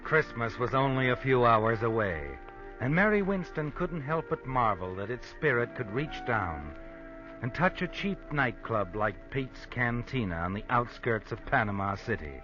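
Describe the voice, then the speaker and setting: An actor playing a sardonic, all-knowing American narrator of an old radio suspense drama, insinuating and dramatic. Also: male voice, mid-range voice, expressive, normal speed, natural voice. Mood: sardonic, ominous. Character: sardonic, omniscient male storyteller of suspense tales. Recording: muffled old radio with music. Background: music.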